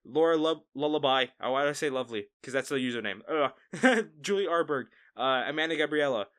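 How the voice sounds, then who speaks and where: A man hosting an audio channel, chatting casually to listeners in an animated, rambling way and stumbling over words. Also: on the high side, brisk, clear, resonant tone. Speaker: audio roleplay creator and host.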